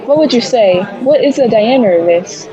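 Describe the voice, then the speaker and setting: Radio play, actress as excited woman news reporter, slightly high voice, describing the scene over crowd noise. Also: fairly quick, muffled recording, natural voice. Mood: urgent, observant. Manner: dramatic, formal on-the-spot describing. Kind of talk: live radio news commentary acting. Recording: busy crowd noise around the voice. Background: crowd in a public space.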